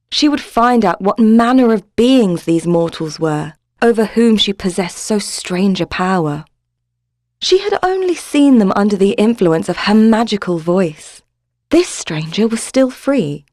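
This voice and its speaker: A woman narrates a scripted tale in storybook style. Her voice sits at a medium pitch, in a very dry recording with no room sound. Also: darkish tone, no room sound.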